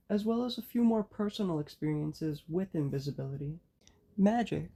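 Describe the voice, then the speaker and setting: Very dark-voiced raspy woman lecturing. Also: lowish voice. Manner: measured, explanatory delivery. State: hoarse from a cold. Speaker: occult channel host. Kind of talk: spoken lecture.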